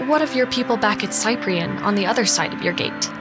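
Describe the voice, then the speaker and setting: An actress playing a proud council diplomat in a sci-fi audio drama, with formal, composed diction. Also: female voice, medium pitch, animated, a little fast, lightly acted. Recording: voice set over clear music.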